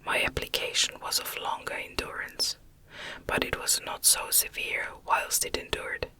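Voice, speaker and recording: A woman's voice: unhurried, sharp tone. Whispering woman reading a classic novel. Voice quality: breathy unvoiced whisper. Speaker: audiobook narrator.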